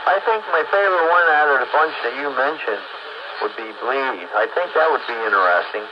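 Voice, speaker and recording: A man's voice: mid-range voice, lively intonation, a little fast. An American man in casual conversation, talking in a rambling, agreeable way. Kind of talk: casual chat. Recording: dull lo-fi audio with clear background noise.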